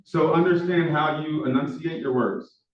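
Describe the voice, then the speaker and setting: Instructor, male, Philadelphia accent, quick and full of fillers, a little higher voice, picked up from across an echoey classroom. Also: recorded from a distance. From Philadelphia, USA. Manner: talks fast with many fillers, says he is monotone. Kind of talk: classroom lecture. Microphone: far room microphone. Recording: echoey classroom recorded from afar, dull sound.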